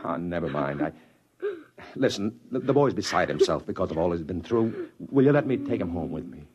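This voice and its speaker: A man's voice: low voice, lively intonation, brisk, slight background noise. A man acting a desperate journalist father in an old radio drama, both speaking and narrating in hard-boiled style. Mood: flustered. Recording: dull old radio recording, loud music behind.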